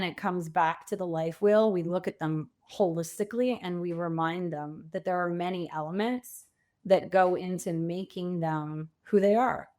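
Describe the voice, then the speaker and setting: A woman speaking conversationally as a podcast guest and coach, telling stories in an animated way with bits of quoted dialogue. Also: lowish voice. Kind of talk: conversational interview.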